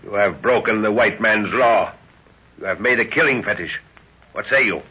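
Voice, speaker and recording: Deep, forceful colonial adventurer. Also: male voice, moderate pace, slightly rough, heavily acted. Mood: stern, urgent. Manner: authoritative, clipped, stagey delivery. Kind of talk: 1930s radio serial acting. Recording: dull 1930s radio recording.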